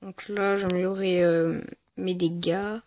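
A gaming video creator giving casual, hesitant and rambling commentary over gameplay, speaking in a medium-high voice. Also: flat delivery, a little slow, a little mellow, no echo. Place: at home, voice-over on mobile gameplay recording.

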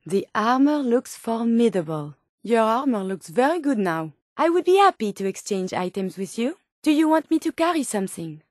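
A female robot scientist from a video game with a high voice and a French accent. She is courteous, inquisitive and earnest, choosing formal, clinical words with care. The sound is dry and close, with no room around it. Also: dry recording. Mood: helpful, eager. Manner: formal, precise, clinical phrasing. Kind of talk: video game companion dialogue lines.

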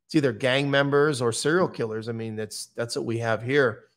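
American male podcast guest, middle-aged, mid-range pitch, matter-of-fact and conversational. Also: fairly even intonation, normal speed, a bit bright. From United States. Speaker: podcast guest, true-crime interviewer and author. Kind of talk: conversational interview talk. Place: indoor room, on a video call. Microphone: microphone on boom arm.

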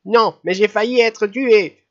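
A man doing a cranky old lady who scolds in a shrill, raised voice. She sounds loud and outraged. The recording is muffled and lo-fi, like an old language-course record. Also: measured pace, natural voice. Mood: indignant.

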